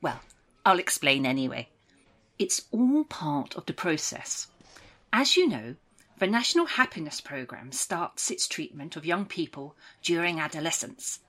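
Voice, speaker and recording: Clinical interviewer, sci-fi radio play: reassuring and probing, swooping intonation, slightly low pitch. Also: female voice, big pitch swings, measured pace, exaggerated voice. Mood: brisk, reassuring. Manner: reassuring, procedural, brightly patronising. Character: soothing, probing woman official of a dystopian programme. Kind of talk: audio drama dialogue, clinical interview.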